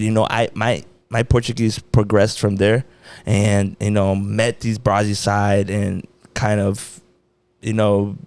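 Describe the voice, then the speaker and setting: A man's voice: lowish voice, a little mellow, clear, resonant tone, no echo. A young American man co-hosting a podcast, talking casually in a laid-back, slangy way with lots of fillers. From United States. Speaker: podcast co-host.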